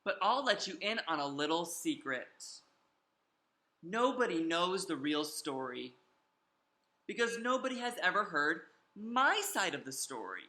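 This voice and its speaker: A man's voice: a little high, very animated, measured pace, pushed and bright, echoey room, full character voice. A man voicing a sly, self-justifying wolf who tells his side of a children's storybook with emphatic, confiding delivery. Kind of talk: animated children's storybook read-aloud.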